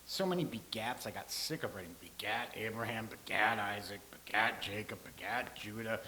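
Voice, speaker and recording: A man's voice: lowish voice, moderate pace, sharp tone, a little hiss or hum. A man playing a grave ancient scribe. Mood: solemn. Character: solemn male ancient scribe from the past. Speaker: actor in a staged reading. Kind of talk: staged play reading.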